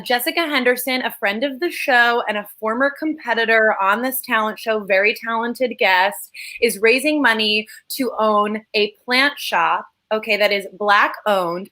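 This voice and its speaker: A woman's voice: medium pitch, bright, forceful tone. A woman co-hosting a comedy livestream, talking in playful banter with mock-serious asides over a video call. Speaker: co-host.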